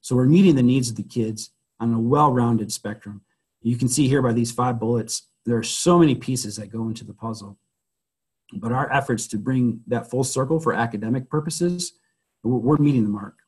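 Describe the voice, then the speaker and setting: A man presenting a school webinar, hoarse and losing his voice, who often clears his throat and speaks haltingly with many fillers. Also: mid-range voice, darkish tone. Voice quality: husky.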